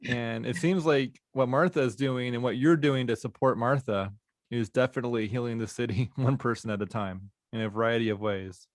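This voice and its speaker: A male podcast host with a mid-pitched voice thanks his guest in a soft, appreciative way, pausing for the odd "um". The recording is dry, with no room sound around him. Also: no room sound. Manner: soft-spoken, appreciative, hesitant fillers. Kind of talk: interview wrap-up.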